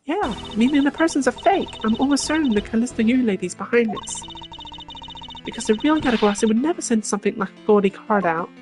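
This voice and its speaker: Idealistic, perky teenage girl thief, video game voiced by a woman; high-pitched, expressive and earnest. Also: fast talker, natural voice. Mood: confident, peppy. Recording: game music behind the voice. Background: game music.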